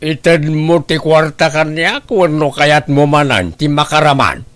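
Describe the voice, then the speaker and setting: A gruff, bullying father acted by a man in a radio drama. He is demanding and commanding, a hard drinker who orders his child around. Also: mid-range voice, measured pace, fairly bright tone, close to an everyday voice. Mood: threatening. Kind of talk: Ilocano radio drama acting.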